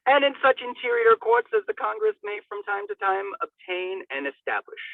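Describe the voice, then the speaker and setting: A man's voice: high-pitched, sing-song, normal speed, dry recording. A man giving a hammy, theatrical dramatic reading, stumbling over words and dropping in comic asides. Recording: thin phone-like band-limited sound.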